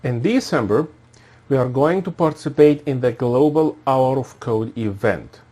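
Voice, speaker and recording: A man's voice: medium pitch, mellow. A man in his thirties from Egypt, giving a formal, scripted self-introduction as a course instructor. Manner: formal, measured, reads from a prepared script. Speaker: assistant professor and course instructor.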